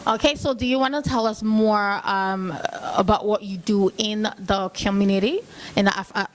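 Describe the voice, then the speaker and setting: A woman hosting a community TV show, casually interviewing guests at a forum with halting, filler-laden questions. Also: mid-range voice, fairly even intonation, rough recording. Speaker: TV host interviewing at an event. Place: at a forum in a hotel venue.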